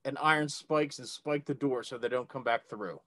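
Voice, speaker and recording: A man playing a tabletop game, talking things through in a deliberate, hesitant way. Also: mid-range voice, sharp tone, dry recording, studio quality. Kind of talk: casual game-table discussion.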